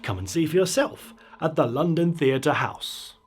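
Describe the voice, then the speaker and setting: A man's voice: on the high side, lively intonation, unhurried. A man voicing a showy Victorian announcer in a storybook horror tale, grandly proclaiming a magic show.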